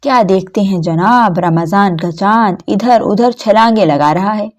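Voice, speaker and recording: A woman storyteller reading scripted narration of a story for children. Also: medium pitch.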